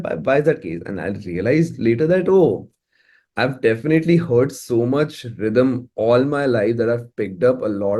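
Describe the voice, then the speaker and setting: A man's voice: medium pitch, mellow. A man with an Indian English accent, talking casually as an interviewed musician, often repeating words as he thinks.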